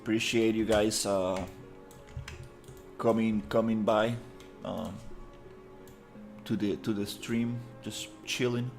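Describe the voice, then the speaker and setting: A man's voice: fairly low pitch, steady tone, slow pace, creaky, pauses often, a little mellow, soft background music. A man chatting casually on a live stream while he models in 3D, rambling with lots of fillers. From Costa Rica. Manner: laid-back, rambling, many fillers, muttering through his work.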